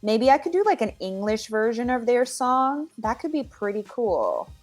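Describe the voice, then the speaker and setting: A Finnish-accented woman singer-songwriter answering interview questions from home, often pausing and restarting as she searches for words. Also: a little high, big pitch swings, faint music behind. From Finland. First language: Finnish or Swedish. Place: home with her dogs.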